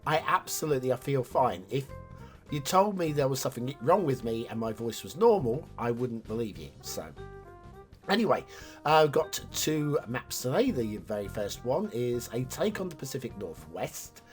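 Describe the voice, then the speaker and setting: A man with a hoarse, slightly raspy voice from a chest infection, chatting casually as he reviews game maps. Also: medium pitch, normal speed, faint music behind. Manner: chatty, animated, sudden jumps in loudness. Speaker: game map reviewer, host. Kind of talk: casual commentary over gameplay.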